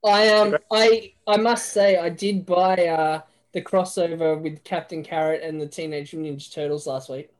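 A man's voice: fairly high pitch, steady tone, normal speed, few pauses. A man on a podcast panel, making wry, profane jokes in casual banter. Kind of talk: casual banter among friends.

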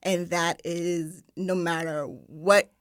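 A woman's voice: fairly low pitch, steady tone, takes it slowly, dry recording. A young woman from Barbados, guest on a studio radio interview, chatty with many ums and laughs. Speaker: guest, marketing director. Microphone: studio microphone.

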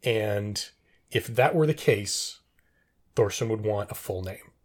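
A middle-aged man in a casual trivia discussion, rambling and thinking out loud as he talks. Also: fairly low pitch, slow pace. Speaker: podcast co-host.

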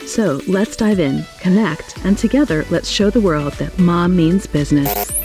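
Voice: medium pitch, a little slow, a little mellow, faint music behind. An announcer reading a scripted, promotional show intro. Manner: polished and promotional.

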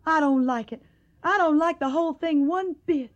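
A high-pitched young Tennessee woman played by an actress in a radio drama. She has a Southern accent and a tender, earnest way of talking. She sounds troubled and wistful, with a stubborn streak. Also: moderate pace, lo-fi sound, lightly acted. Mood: upset, tender.

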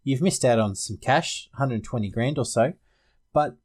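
A man's voice: mid-range voice, a little slow, a little mellow. A man hosting a casual sports podcast, chatting about fantasy picks. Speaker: podcast host.

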